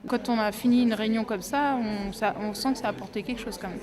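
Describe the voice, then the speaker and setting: A woman's voice: a little high, steady tone, fast, crowd noise. A woman talking informally in an interview, colloquial and loosely phrased. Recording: people talking in the background.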